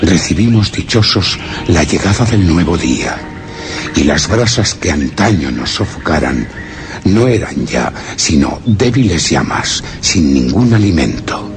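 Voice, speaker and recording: Male narrator, extremely low voice, grave measured storytelling for horror radio theatre, loud music bed. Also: sing-song. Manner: solemn, measured, literary delivery. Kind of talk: dramatic scripted first-person suspense narration.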